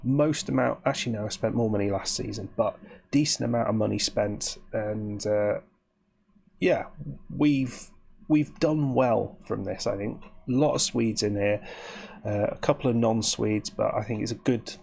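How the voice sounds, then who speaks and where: A man, croaky and sniffly with hay fever, giving rambling, chatty commentary on his game as host. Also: lowish voice, measured pace, darkish tone. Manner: rambling, chatty, with frequent restarts. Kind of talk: casual gameplay commentary.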